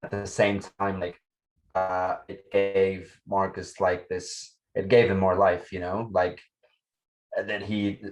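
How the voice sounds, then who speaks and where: A man's voice: low voice, low-quality recording. A man giving casual, unscripted feedback, tentatively searching for his words as he goes. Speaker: participant giving feedback on a table read.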